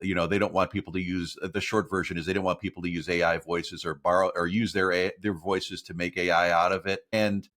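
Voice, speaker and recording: A man's voice: sits low, little pitch movement, a little fast, few pauses, a bit bright. A middle-aged man, a voiceover artist, talking earnestly about his work as an interview guest. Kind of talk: casual interview talk.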